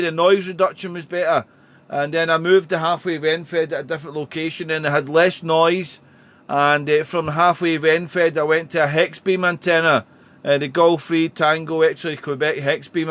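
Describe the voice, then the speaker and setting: A man with a somewhat high-pitched voice chats casually as a radio hobbyist hosting a contact. He is talkative and repeats himself, often ending phrases with "there". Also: a bit bright. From Wales. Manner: chatty, repetitive, ends phrases with "there". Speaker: amateur radio operator, livestream host.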